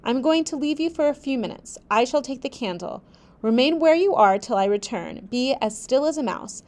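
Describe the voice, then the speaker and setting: A woman reading aloud, voicing a brusque, commanding adult gentleman who barks orders. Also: high voice, animated, normal speed.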